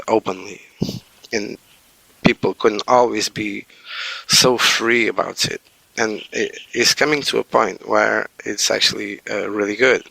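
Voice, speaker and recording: A man's voice: lowish voice, vocal fry, sharp tone, slightly rough, no echo, low-quality recording. A man, a podcast guest speaking hesitantly with many fillers and restarts. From Portugal. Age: 40s. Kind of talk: casual interview talk.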